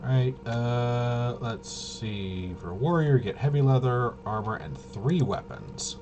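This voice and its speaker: A man's voice: medium pitch, lively intonation, a little slow, music in the background. A man talking casually and thinking aloud through a game walkthrough, rambling and muttering to himself with playful little interjections. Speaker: host of a tabletop RPG channel.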